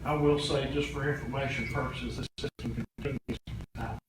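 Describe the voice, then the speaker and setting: A man answering questions at a public meeting in a halting, measured, matter-of-fact way. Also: medium pitch, fairly even intonation. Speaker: official presenting an emergency radio plan to county board. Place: indoor public meeting room. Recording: echoey meeting room, distant mic, loud noise.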